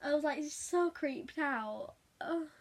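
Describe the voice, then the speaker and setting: A woman chatting casually as she tells a story in an animated, giggly way. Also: high voice, slow. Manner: animated, giggly, acts out quotes. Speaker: vlogger telling a story.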